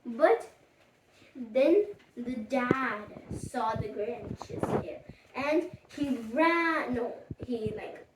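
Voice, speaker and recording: A storyteller improvising a bedtime story in a halting, childlike way, repeating words along the way. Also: mid-range voice, expressive. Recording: echoey room, distant mic, faint noise, clicks.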